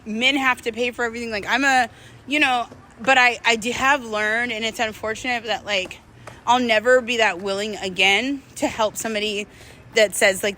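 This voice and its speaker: A woman's voice: fairly high pitch, bright, forceful tone, a little hiss or hum. A young adult woman talking frankly and expressively in a conversational personal vlog, sharing candid stories with some swearing. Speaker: vlogger. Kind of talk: candid personal storytelling to camera.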